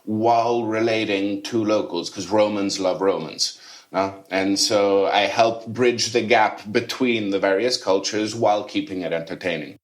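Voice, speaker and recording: A middle-aged man raised in Rome, a chef and food tour guide, chatting enthusiastically in a podcast interview. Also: sits low. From Rome, Italy. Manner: chatty, enthusiastic, casual fillers.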